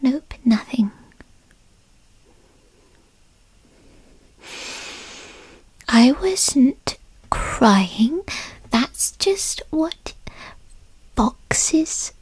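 A high, bright voice of a young fox spirit, played by a woman, skittish and bratty. She speaks slowly in halting, breathy stammers, as in an intimate ASMR roleplay. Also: expressive, no room sound, full character voice. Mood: defensive, haughty. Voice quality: breathy, often whispery. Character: young bratty female fox spirit, timid but defensive. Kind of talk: ASMR roleplay, scripted character acting.

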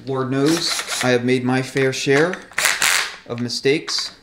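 A man's voice: medium pitch, fairly even intonation, slow. A man explaining a mechanical job step by step in a casual, practical way while he works. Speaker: transmission rebuilder giving a tutorial. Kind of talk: casual hands-on instructional commentary. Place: indoor repair workshop. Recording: echoey workshop, noticeable noise, tool clicks.

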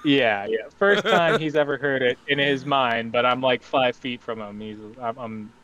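A man playing a tabletop game, relaxed, describing his character's actions. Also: medium pitch, normal speed, lo-fi sound. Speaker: player.

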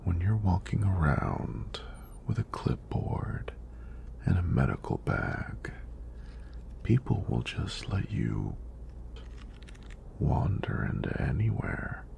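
A man playing a friendly, teasing EMT in a soft-spoken ASMR roleplay, with a deep, breathy, gravelly voice and an unhurried, reassuring manner. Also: very animated, full character voice. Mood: playful, easygoing. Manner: unhurried, reassuring, lightly playful. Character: friendly, teasing young male EMT doing a screening.

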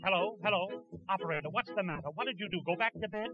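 A man's voice: high voice, fast, muffled recording, music in the background, lightly acted. A man performing a panicky young fellow pleading for help in a 1920s comic novelty song, on an old recording.

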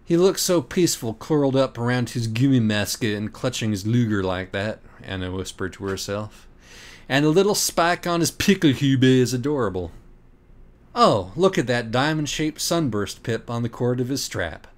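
A man's voice: mid-range voice, lively intonation, normal speed. A man reading scripted comic narration as an audiobook reader, in a mock-dramatic, scornful, profane manner.

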